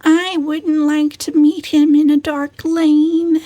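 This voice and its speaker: A woman's voice: sits high, flat delivery, slow pace, mellow, no room sound, voice pushed up. A woman voicing a timid, suspicious female cousin in a storybook, solemn and shivery. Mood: fearful, solemn.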